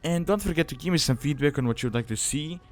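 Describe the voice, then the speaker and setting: A man's voice: a little high, lively intonation, quick pace. A man hosting a casual, explanatory gaming guide as a voice-over, talking in an informal, loosely phrased way. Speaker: video guide host. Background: background music.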